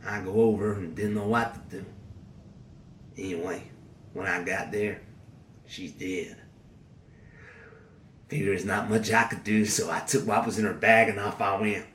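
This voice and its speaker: Male actor doing a low-voiced, sneering, crude-talking convict; roomy echo, faint music under. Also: fairly even intonation, a little slow, long pauses, fairly bright tone, slight background noise. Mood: storytelling, cold. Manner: coarse drawling slang, sneering. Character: defiant male convict serving life, bitter and crude. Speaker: prison inmate. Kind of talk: dramatic dialogue acting.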